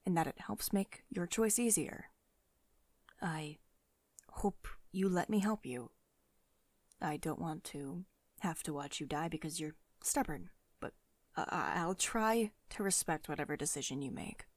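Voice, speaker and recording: A woman's voice: sits low, lively intonation, measured pace, no echo. A woman playing a commanding, protective tech CEO who is secretly devoted and tender, in an intimate ASMR roleplay monologue. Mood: tender, hesitant.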